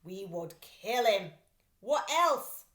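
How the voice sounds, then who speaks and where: A woman puts on a storybook crook, the cocky sidekick who goes along with his partner. He speaks emphatically and slowly in a roomy echo. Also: medium pitch, sing-song, a bit bright, exaggerated voice. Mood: smug. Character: agreeable male sneak thief, cocky sidekick.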